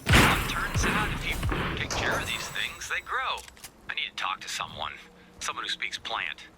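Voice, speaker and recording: An actor playing an anxious, sarcastic video game scientist who rambles in wry asides and feigns calm. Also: male voice, mid-range voice, moderate pace, loud music behind the voice. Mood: grumbling. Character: anxious, sarcastic, fast-thinking male scientist. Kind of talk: video game character voicelines.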